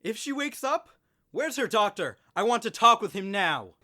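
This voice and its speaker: A man playing an angry, possessive boyfriend in an audio drama, forceful and insistent as he makes his demands. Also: sits high, big pitch swings, normal speed, exaggerated voice. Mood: angry, demanding.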